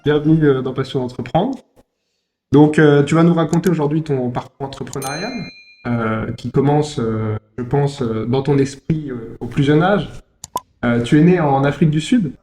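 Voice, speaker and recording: A man's voice: on the high side, moderate pace, warm tone, faint music behind. A man hosting an entrepreneurship interview podcast, greeting his guest and asking about his life in a friendly way, with frequent fillers. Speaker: podcast host. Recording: roomy echo.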